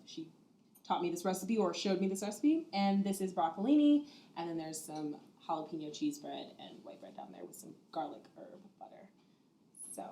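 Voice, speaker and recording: A woman in her twenties hosts a dinner-table conversation, chatty and relaxed. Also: on the low side, echoey room, a little hiss or hum. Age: 20s. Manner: relaxed, chatty, laughs easily. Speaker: host. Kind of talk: casual dinner-table chat. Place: dining room at a dinner table.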